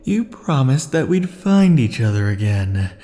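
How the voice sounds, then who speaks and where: A man voicing a clingy, possessive immortal male lover in an ASMR roleplay, murmuring softly with sighs. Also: mid-range voice, very animated, measured pace. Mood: brooding. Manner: soft, sighing, lingering murmur.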